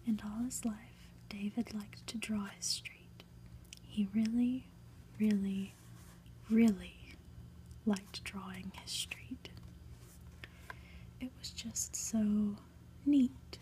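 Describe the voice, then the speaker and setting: A woman hosting ASMR, whispering gently as she reads a book aloud with casual asides. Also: mid-range voice, fairly even intonation, noisy background. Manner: gentle, unhurried, soft-spoken. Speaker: ASMR host.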